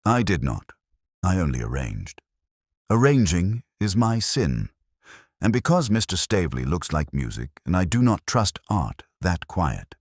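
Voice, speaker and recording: A man's voice: low voice, big pitch swings, measured pace, husky, dry recording, clean studio sound, full character voice. A man voicing a nervous, stubborn clerk who makes a precise, defensive confession in an audiobook mystery. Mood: indignant.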